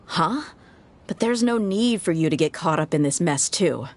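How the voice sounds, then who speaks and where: A woman's voice: lowish voice, expressive, normal speed, a little hiss or hum, lightly acted. A woman voicing a tough, loyal desert mercenary in a video game, composed and respectful. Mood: composed, sincere.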